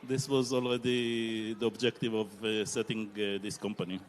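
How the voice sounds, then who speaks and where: A man, answering on a conference panel with many hesitant pauses. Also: lowish voice, little pitch movement, slight background noise. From Israel. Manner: halting, many filler pauses. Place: conference stage.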